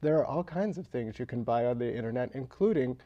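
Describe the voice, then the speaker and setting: A man speaking as an interview guest and author, telling anecdotes with dry, deadpan humour. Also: mid-range voice, fairly quick, muffled recording. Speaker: interview guest, local novelist.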